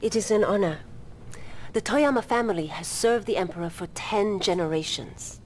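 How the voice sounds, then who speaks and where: A woman's voice: medium pitch, animated, moderate pace, darkish tone, a little hiss or hum, natural voice. A woman playing a formal female logistics officer, giving mission briefings in a game. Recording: music playing behind.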